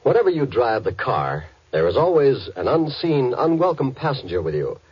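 A man's voice: mid-range voice, very animated, normal speed, slightly rough. A man reading a solemn, scripted safety message in an earnest, oratorical old radio style. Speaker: public service announcer. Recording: dull, dry old radio recording.

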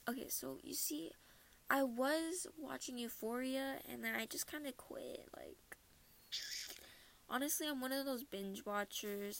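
Teen girl from minnesota rambling about school n stuff, kinda high voice with vocal fry, says like a lot. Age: teens. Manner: rambling, laughs often, heavy use of "like". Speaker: podcast host. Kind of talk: casual personal chat.

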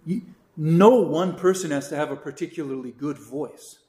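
Chatty priest in an echoey room. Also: male voice, on the high side, moderate pace, mellow. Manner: rambling, many fillers and restarts, sings brief chant examples. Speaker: priest teaching a catechism class. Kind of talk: casual classroom teaching. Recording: roomy echo.

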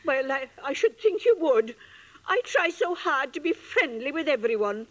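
An actress playing a vain, middle-aged landlady in an old-time radio comedy, gushing and quick to take offense. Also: high voice, expressive, highs cut off. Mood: huffy, self-satisfied. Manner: gushing, indignant, theatrical. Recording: vintage radio show before studio audience.

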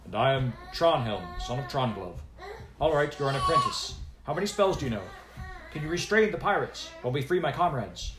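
Man voicing a gruff storybook dwarf warrior-priest, curt and pushy, roomy sound. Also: mid-range voice, expressive, a little fast, over music, voice pushed up, close to an everyday voice. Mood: demanding. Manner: blunt, demanding. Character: gruff young male dwarf cleric, blunt and impatient.

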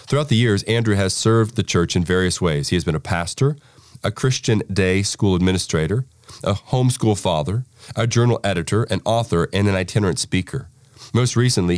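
A man's voice: deep voice, no echo, clean studio sound. A man speaking as a host, delivering a scripted introduction to an article.

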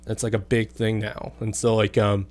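A man's voice: lowish voice, flat delivery, moderate pace, darkish tone. A young man telling stories in a casual chat, swearing freely and laughing often. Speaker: podcast guest.

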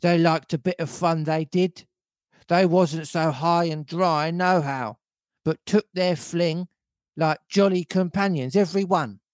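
A man plays a grumbling storybook pirate coxswain. He is defiant and growls in rough, uneducated sailor dialect. The pitch is raised and the delivery slow. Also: no echo, clean studio sound, lightly acted. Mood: resentful.

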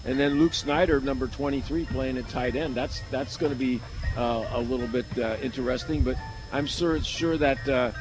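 Halting male football color commentator, medium pitch. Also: steady tone, music in the background, rough recording. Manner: halting, repeats words while thinking aloud. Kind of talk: football analysis, unscripted chat.

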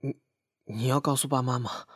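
A man playing a flustered, defensive young son of a wealthy family in a radio drama, agitated and halting. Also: mid-range voice, fairly even intonation, close to an everyday voice. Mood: panicked. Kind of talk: Chinese radio drama acting.

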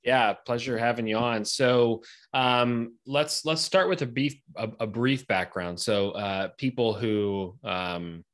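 A man's voice: mid-range voice, takes it slowly, a bit bright. A man hosting a podcast, reading a scripted intro and then chatting with a guest, hesitant with many fillers. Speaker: podcast host.

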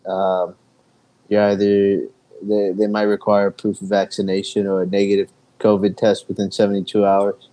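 Laid back guy, super flat monotone, mellow low voice. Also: normal speed, low-quality recording. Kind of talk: casual chat.

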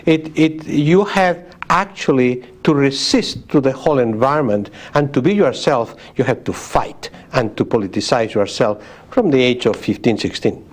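A man in his sixties from Spain answers questions in English with a Spanish accent. He talks in a thoughtful, measured way, stopping to restart phrases and reach for words. His pitch sits in an ordinary middle range. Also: lively intonation. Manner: thoughtful, with frequent pauses and restarts. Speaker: guest, sociologist. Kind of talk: interview conversation.

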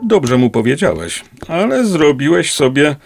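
An actor playing a prudent, smug, moneyed suitor in a radio play. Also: male voice, a little high, big pitch swings, unhurried, gravelly, faint music behind, heavily acted.

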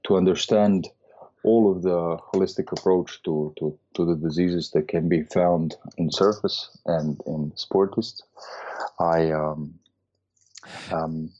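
A middle-aged man from Austria speaks in a very low, mellow voice. He talks hesitantly, filling pauses with ums and restarting his sentences. The sound is dull and lo-fi, like a remote call. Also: fairly even intonation. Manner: hesitant, many fillers and restarts. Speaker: guest, medical doctor and surfer. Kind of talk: casual interview conversation.

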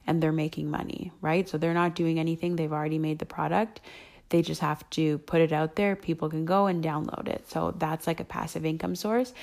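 A young adult woman with a hoarse voice, rambling and thinking aloud with many ums as she hosts her solo podcast. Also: deep voice, darkish tone. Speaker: podcast host. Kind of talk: casual solo podcast chat.

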